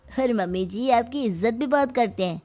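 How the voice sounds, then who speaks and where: A woman's voice: fairly high pitch, expressive, moderate pace, warm tone, slight background noise, lightly acted. A woman voicing a lazy, freeloading young son-in-law in a cartoon story, flippant and wheedling.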